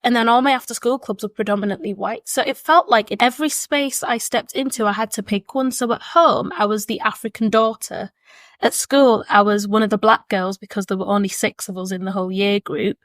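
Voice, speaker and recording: A woman's voice: mid-range voice, steady tone, fairly quick, a bit bright, no echo. A woman, giving earnest, reflective answers as a podcast guest and often repeating words while she thinks. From born in Zimbabwe, raised in Leeds, England. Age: 20s. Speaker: podcast guest, education consultant. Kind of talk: thoughtful conversational interview answers. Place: seated at a table with the hosts.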